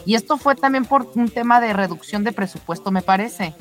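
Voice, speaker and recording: A woman's voice: a little high, a little fast, soft background music. A woman co-hosting a radio show, talking casually and conversationally. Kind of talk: casual on-air chat.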